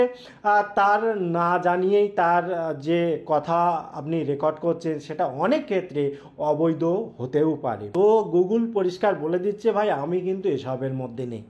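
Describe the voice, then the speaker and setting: A man giving an emphatic, explanatory step-by-step phone tutorial, speaking directly to the viewer. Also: high-pitched. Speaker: tech tutorial YouTube host. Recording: dull, lo-fi voice recording.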